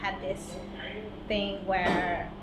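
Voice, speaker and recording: A young woman from Hungary, a podcast guest, talking in a relaxed, chatty way and laughing easily. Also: medium pitch, drawn-out words. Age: young adult. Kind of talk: casual interview chat. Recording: roomy with clear background noise.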